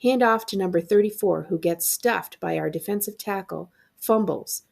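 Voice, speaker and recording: Female reader for middle-grade audiobook-style read-aloud, mid-range voice with plenty of fry, expressive librarian delivery. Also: medium pitch, moderate pace. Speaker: librarian reading a book aloud.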